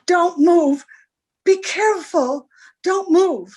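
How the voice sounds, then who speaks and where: A woman's voice: very high-pitched, slow, a little mellow. A woman playing a proud, anxious young wife in trouble, haughty and melodramatic, in 1940s radio drama style. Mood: tense, wary.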